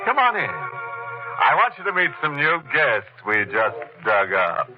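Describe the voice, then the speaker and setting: Give the voice of a gleefully macabre American radio horror host from the 1940s. He speaks slowly and swoops widely in pitch, mock-genial and theatrical. The sound is muffled, like a vintage broadcast. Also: wildly swooping pitch, faint music behind. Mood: ghoulish glee. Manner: mock-genial, drawn-out delivery with ghoulish chuckles. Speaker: host and narrator.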